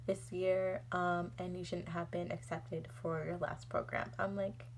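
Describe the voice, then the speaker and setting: Young woman, heavy vocal fry, casual storytelling, exasperated rambling delivery, faint humming background noise. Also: lowish voice, flat delivery, unhurried. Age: young adult. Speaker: vlogger.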